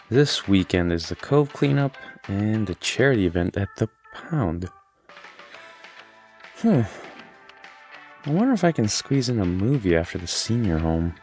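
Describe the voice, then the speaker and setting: Man as conscientious teen in audio drama: low voice, unhurried musing, faint music underneath. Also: darkish tone. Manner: musing to himself. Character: easygoing, conscientious teenage boy planner.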